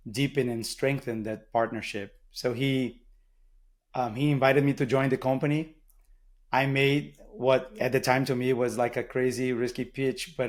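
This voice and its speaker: Man, Brazilian accent, middle-aged, mid-range voice, interview guest, reflective, halting restarts. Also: medium pitch. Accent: Brazilian Portuguese. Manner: thoughtful, with frequent restarts and ums. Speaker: guest, marketing executive. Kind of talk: interview answers, storytelling.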